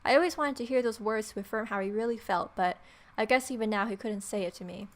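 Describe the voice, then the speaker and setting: A young adult woman hosting a let's play, reading visual novel narration aloud in an irreverent, expressive way. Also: mid-range voice, quick pace. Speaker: gaming video host.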